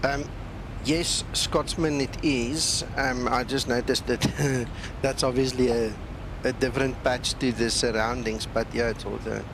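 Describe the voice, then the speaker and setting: A man's voice: fairly even intonation, a little slow. A man who is a non-native English speaker chats casually and jokingly as he hosts a flight simulator livestream. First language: not English. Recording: constant loud rumbling engine-like noise. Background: aircraft cockpit noise from flight simulator.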